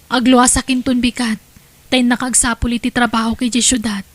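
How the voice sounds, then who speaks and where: A woman's voice: a little high, little pitch movement, brisk, dry recording, close to an everyday voice. A woman with an Ilocano Filipino accent playing a stubborn, ambitious young wife and mother in an audio drama. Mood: pleading.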